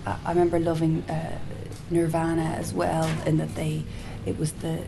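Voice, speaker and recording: A soft-spoken woman being interviewed as a singer-songwriter, talking in a casual, hesitant way with frequent restarts. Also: low voice, completely flat delivery, normal speed, creaky. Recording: noticeable background hiss.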